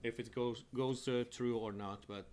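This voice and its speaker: A man hosting a live show from his home studio, talking haltingly and restarting as he sorts out his setup. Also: mid-range voice, steady tone, darkish tone. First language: Hungarian. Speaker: host of a live online show. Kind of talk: casual live-stream talk to viewers.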